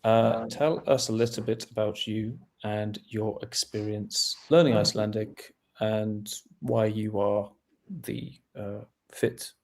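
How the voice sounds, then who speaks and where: A man with a low, gravelly voice leading a webinar Q&A. He reads out questions casually, slips in jokes and hesitates now and then. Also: slow pace. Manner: relaxed, jokey, hesitant with fillers. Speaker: webinar host. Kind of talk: webinar Q&A moderating.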